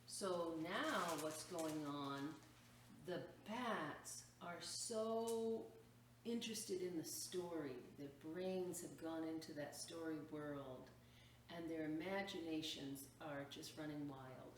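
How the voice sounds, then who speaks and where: Lady doing library storytime, slow n low voice, draws words out for the kids, really echoey. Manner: expressive storytelling, drawn-out words, explanatory asides. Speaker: librarian hosting a children's story time. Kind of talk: reading a picture book aloud to children, with chatty asides. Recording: echoey room, distant mic, faint noise.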